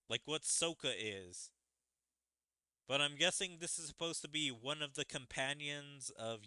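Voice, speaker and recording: A man's voice: mid-range voice, pushed and bright, clear, resonant tone, no room sound. A man giving casual commentary as he plays through a story game, with game music behind him. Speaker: gaming streamer reading story dialogue aloud.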